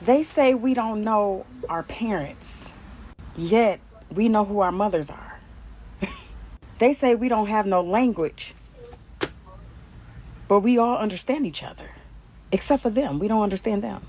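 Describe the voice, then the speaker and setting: A woman's voice: medium pitch, animated, normal speed, long pauses, mellow, slight background noise, low-quality recording. Laughing, mocking woman from Detroit. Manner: laughing, mocking, blunt and profane. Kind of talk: casual commentary to camera.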